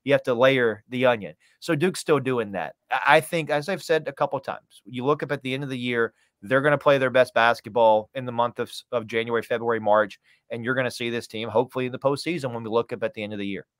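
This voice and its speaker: A man in his thirties with a mid-pitched voice talks sports as a podcast guest. His analysis is conversational, and the sound is close and dry, with hardly any room echo. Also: fast talker, fairly bright tone. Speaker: radio play-by-play announcer, podcast guest. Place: small room, indoors, on video call.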